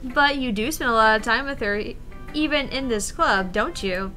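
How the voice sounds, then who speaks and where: Brief: woman as friendly, teasing schoolgirl club member in a visual novel, bright high voice, faint music behind. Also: normal speed. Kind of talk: visual novel read-aloud.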